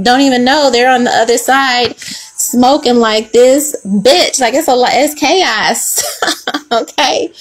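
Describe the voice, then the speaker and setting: A woman giving a casual tarot reading to the camera, chatty and slangy, swearing freely and cutting in with quick laughing asides, recorded indoors at a table. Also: fairly high pitch. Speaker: tarot reader.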